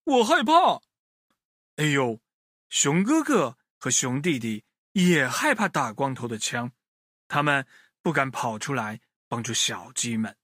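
A man telling a children's story in an expressive way, speaking directly to his young listeners. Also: medium pitch, a little slow, no echo, clean studio sound.

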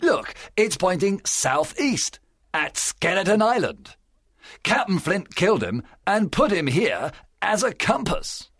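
Storybook pirate leader, played by a male reader, gravelly, commanding, cunning, lively pitch, very dry sound. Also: slow, no room sound, full character voice. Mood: scheming. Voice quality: gravelly, rough. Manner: forceful, bossy. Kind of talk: dramatised storybook reading.

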